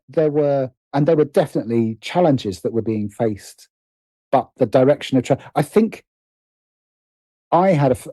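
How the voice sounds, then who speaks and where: A man's voice: mid-range voice, mellow, no echo. A middle-aged man chatting as an interview guest, hesitant and often restarting his sentences. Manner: hesitant, self-correcting with repeated false starts. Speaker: podcast guest, writer and producer. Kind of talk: casual interview conversation.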